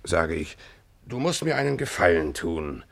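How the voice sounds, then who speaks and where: An actor in a German radio play voicing a gruff, hard-drinking sea captain who blusters and dodges marriage. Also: male voice, deep voice, very animated, moderate pace, a bit bright, heavily acted. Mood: scheming aside. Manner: blustering, nautical swagger with muttered asides. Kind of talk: German radio play acting, narrating and dialogue.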